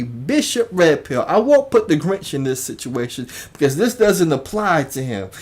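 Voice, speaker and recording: Slow, deliberate livestream commentator, emphatic and repetitive. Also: medium pitch, warm tone. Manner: emphatic, repeats phrases for emphasis. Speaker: YouTube commentary host.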